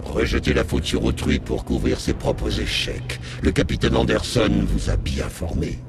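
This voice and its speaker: An arrogant alien elite agent from a video game, his voice extremely deep and thick with growl. He is haughty and theatrical, dripping contempt. Loud music plays underneath. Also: big pitch swings, normal speed, full character voice. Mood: scornful. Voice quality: gravelly. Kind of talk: video game cutscene acting in French.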